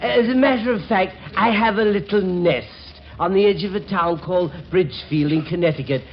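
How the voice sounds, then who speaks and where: An actor playing a suave, pompous swindler posing as a titled gentleman, flowery and ingratiating, in a 1940s radio comedy with dull, faintly noisy sound. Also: sits high, fairly quick, close to an everyday voice. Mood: sly, pompous.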